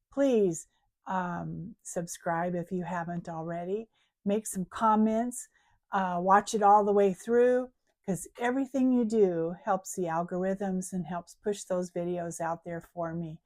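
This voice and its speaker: A middle-aged woman vlogging about her cruise in a chatty way, full of ums and restarts, with a croaky, hoarse voice. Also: lowish voice, darkish tone. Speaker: travel vlogger. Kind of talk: casual vlog chat.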